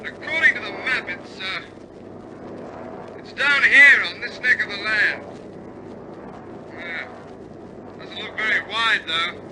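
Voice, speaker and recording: Bomber crewman: strikingly high voice, loyal and anxious, war radio drama over roaring engines. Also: slow pace, pauses often. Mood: concerned. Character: loyal male airman on a crippled bomber, dogged, anxious. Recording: loud aircraft engine drone. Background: aircraft engine noise.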